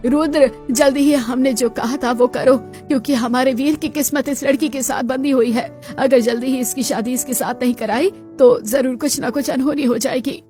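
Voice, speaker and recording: A woman playing an imperious old grandmother of a rich family in a dramatized moral story, speaking solemnly and deliberately. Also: high voice, fairly even intonation, mellow, soft background music, close to an everyday voice. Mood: solemn, insistent.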